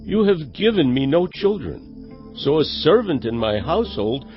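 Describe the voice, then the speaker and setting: An actor playing an earnest, troubled patriarch in a dramatized scripture reading, speaking with emotion. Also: male voice, on the high side, big pitch swings, measured pace. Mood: troubled, pleading. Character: Abram, earnest, troubled elderly childless patriarch. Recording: slightly dull audio, faint music behind. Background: faint music.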